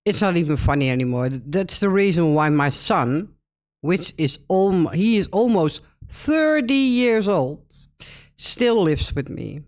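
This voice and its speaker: A woman's voice: lowish voice, very animated, a little slow, darkish tone. A Dutch woman in her fifties with a Dutch accent, hosting a casual reaction video and chatting in English, often breaking in to agree. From Netherlands. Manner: chatty, thinks aloud, with frequent agreeing interjections.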